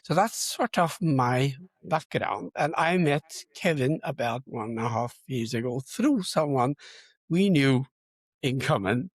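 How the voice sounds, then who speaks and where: Older man, husky voice. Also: mid-range voice, lively intonation, unhurried, dry recording. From Turkey. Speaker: guest, computer science educator. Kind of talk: interview self-introduction, conversational.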